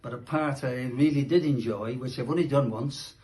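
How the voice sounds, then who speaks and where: A man's voice: medium pitch, normal speed, dark tone, a little hiss or hum. A man who performs in amateur pantomime, talking casually and a little hesitantly as he recalls his roles. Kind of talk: casual spoken recollection to camera.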